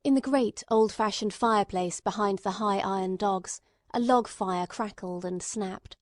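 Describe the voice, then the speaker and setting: Woman, audiobook narrator, literary scripted reading, medium pitch, dry recording. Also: measured pace, no echo.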